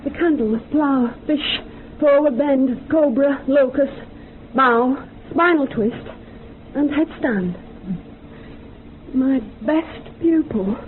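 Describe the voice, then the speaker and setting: A woman's voice: high voice, slow pace. A woman playing an emotional, doting and reverent yoga teacher with a theatrical manner in a radio drama, on a tinny recording. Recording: tinny band-limited radio recording, faint noise.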